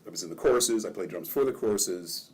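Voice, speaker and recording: An older man from Maryland, a ward councilman, telling relaxed stories in a casual interview and chuckling often. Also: lowish voice, fast, slightly rough.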